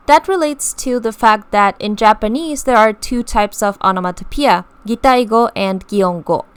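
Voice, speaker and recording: A woman giving a scripted voice-over that explains song lyrics and language in a measured, patient way. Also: medium pitch, fairly bright tone, clean tone.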